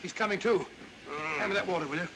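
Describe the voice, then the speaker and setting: An actor playing a loyal, blustering older English gentleman, the detective's sidekick in a 1940s mystery drama. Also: sits high, fast, natural voice. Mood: concerned, bustling. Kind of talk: 1940s film mystery acting. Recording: noisy old film soundtrack.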